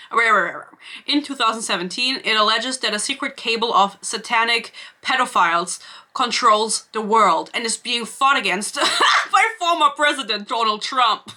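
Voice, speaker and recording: A woman's voice: fairly high pitch, bright, forceful tone. A young woman with a German accent, ranting sarcastically and swearing freely in a casual book review vlog to camera.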